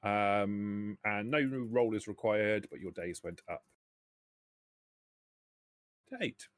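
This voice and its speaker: A man narrating a tabletop game as game master, haltingly, often repeating words as he thinks. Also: lowish voice, no room sound, clean studio sound.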